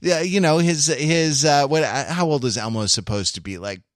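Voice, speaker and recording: A man chatting casually and analytically as a podcast panelist, in a halting, discursive way that circles back over phrases. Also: fairly high pitch, no room sound, clean studio sound.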